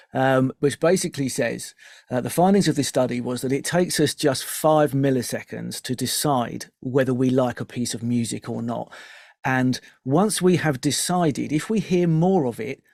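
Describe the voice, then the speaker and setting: A man's voice: medium pitch, gravelly, a little mellow, no room sound, clean studio sound. A man giving earnest, explanatory interview answers as a music business founder, often voicing imagined customers to make his points.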